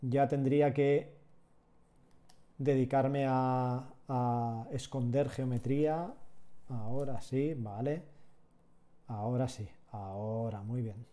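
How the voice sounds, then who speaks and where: A man's voice: medium pitch, slow, long pauses. A man, chatting with viewers while teaching 3D sculpting live from a small home room. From Catalonia, Spain. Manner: relaxed, chatty, answers chat while working. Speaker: 3D sculpting instructor.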